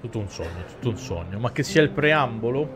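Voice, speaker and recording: A man's voice: medium pitch, animated, fairly quick, background noise. A man in his thirties reading a visual novel aloud on stream in a theatrical storyteller way. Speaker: game streamer. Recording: music clearly behind the voice. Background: game music.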